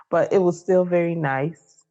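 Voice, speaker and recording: A person in their forties co-hosting a podcast, upbeat and joking, with quick asides. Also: mid-range voice, steady tone, mellow, lo-fi sound. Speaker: podcast co-host. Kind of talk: casual chat.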